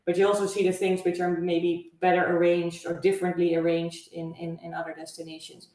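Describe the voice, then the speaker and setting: A woman from the Netherlands speaks English with a Dutch accent, calm and measured, pausing on small fillers as she explains. Her voice sits a little low. The recording sounds distant and roomy, with strong echo. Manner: measured, thoughtful, with frequent uh fillers. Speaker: guest, travel agency owner. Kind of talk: interview answers. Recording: echoey room, far from mic.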